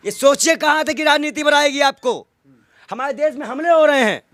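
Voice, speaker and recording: A man with a strikingly high-pitched voice in an impassioned political interview. He argues indignantly, piling up rhetorical questions and repeating key phrases for emphasis. The sound is dry, with almost no room echo. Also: brisk, fairly bright tone, soft background music.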